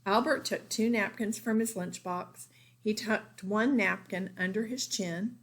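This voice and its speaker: Woman, teacher, storybook read-aloud, expressive, mid-range voice, for young children. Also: medium pitch, a little slow. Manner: expressive, pointing out pictures to listeners.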